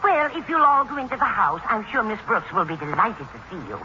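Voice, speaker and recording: A woman's voice: mid-range voice, sing-song, a little fast, lightly acted. An actress playing a genial, slightly dotty older woman with a dithery manner in a 1940s radio sitcom. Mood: breezy. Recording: muffled, very noisy 1940s radio recording.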